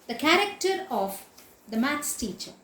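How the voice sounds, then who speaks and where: A woman's voice: high-pitched, very animated, measured pace, fairly bright tone. A woman teacher giving an explanatory literature lecture in a didactic, emphatic way, repeating and spelling out key words. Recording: echoey room.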